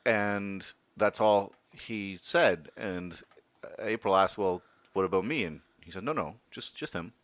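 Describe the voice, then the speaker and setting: Low-voiced man, relaxed chat. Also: unhurried, no echo. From Windsor, Canada. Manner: relaxed, laughs easily, anecdotal. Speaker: podcast co-host. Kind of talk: casual chat.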